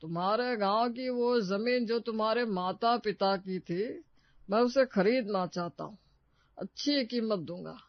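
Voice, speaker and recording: High-voiced coaxing village headman, played by a man. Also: no echo, muffled recording. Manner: persuasive, drawn-out, coaxing. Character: persistent middle-aged village headman bargaining for land.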